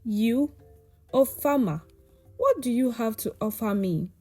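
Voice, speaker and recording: A proud young beauty of a folktale village, acted out by a woman storyteller. She speaks slowly and haughtily, full of scorn for those beneath her. The tone is mellow, with soft music behind. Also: a little high, animated. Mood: scornful.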